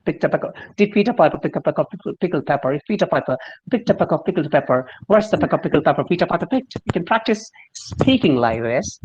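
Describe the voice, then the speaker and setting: A man's voice: fairly high pitch, expressive, fast, a little mellow, husky, lo-fi sound. A man from Kerala with a Malayali English accent, a speaking coach explaining and demonstrating pronunciation in a friendly online lesson, often spelling words out letter by letter. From Kerala, India.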